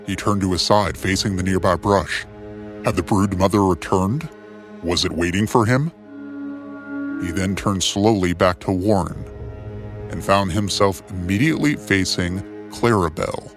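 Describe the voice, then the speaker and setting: A man's voice: sits low, unhurried. A man narrating a scripted adventure story in a dramatic storytelling style. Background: soft music.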